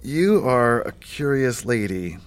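A man's voice: medium pitch, very animated, takes it slowly, slight background noise. An actor playing a casual, awkward middle-aged man, hesitant and probing, in a naturalistic audio drama. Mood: curious.

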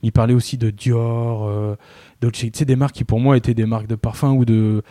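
A man's voice: medium pitch, level tone, fairly quick, mellow, no room sound. Man telling a story in a dry booth. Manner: chatty storyteller, self-mocking. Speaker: guest. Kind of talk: casual podcast conversation.

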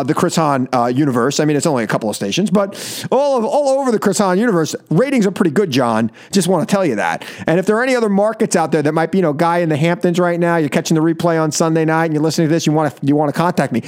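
A man hosting a live political call-in radio show, animated and joking, repeating phrases for emphasis. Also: on the high side. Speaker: radio talk show host. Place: radio studio.

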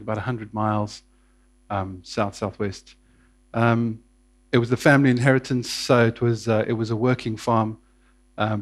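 A man, telling his life story to a church in a casual, hesitant way with many fillers. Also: lowish voice. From South Africa. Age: 30s. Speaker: interview guest.

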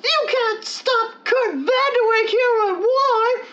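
A woman playing a timid, earnest young boy sidekick with a squeaky, childlike voice in an old-time radio adventure parody. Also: slow pace, a bit bright, dull audio, full character voice. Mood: dismayed pleading.

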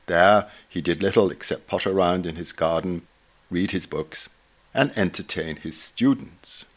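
Low-pitched man reading formally. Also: unhurried, fairly bright tone. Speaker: reader, narrator. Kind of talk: scripted narration of an obituary.

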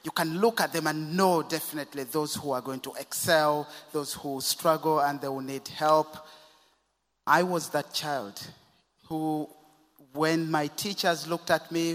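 A man's voice: fairly high pitch, a bit bright. Reflective man from Uganda, in a hall. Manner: reflective, speaks to the audience directly. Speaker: guest telling his life story. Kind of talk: personal testimony. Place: church service. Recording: church hall reverb.

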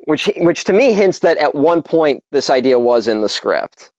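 A man's voice: fairly high pitch, big pitch swings, moderate pace, darkish tone, no room sound. Man, podcast co-host, laid-back, rambling, dry room, muffled sound. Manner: laid-back, lots of "like", laughs easily, swears. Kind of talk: casual movie podcast chat.

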